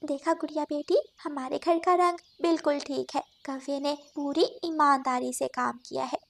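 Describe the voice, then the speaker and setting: Kindly mother bird for a moral-story cartoon, cheerful and high in pitch, voiced by a woman. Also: steady tone, normal speed, vocal fry. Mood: reassuring. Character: cheerful, trusting mother sparrow. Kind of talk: Hindi children's cartoon dubbing.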